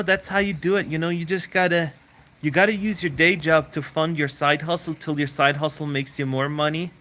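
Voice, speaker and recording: A man's voice: mid-range voice, sharp tone. A man who grew up in Switzerland, hosting a casual live Q&A, rambling and repeating words as he answers viewer questions.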